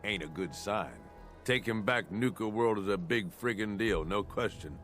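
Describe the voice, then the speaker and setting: Gruff raider lieutenant. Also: male voice, lowish voice, animated, normal speed, a bit bright, faint music behind. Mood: scheming. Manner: rough streetwise drawl, persuasive.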